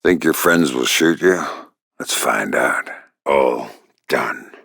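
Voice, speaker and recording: A gruff, menacing covert operative from a video game, middle-aged and wry. His voice sits extremely low and rumbles with gravel, often sinking into a breathy growl. He delivers terse, deadpan, sardonic lines in a dry, close recording. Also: slow, no echo, heavily acted.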